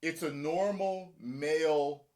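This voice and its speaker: A man hosting a casual reaction chat, talking in an animated way and acting out other people's lines. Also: slow pace. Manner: animated, acts out quotes with shifting pitch. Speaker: show host.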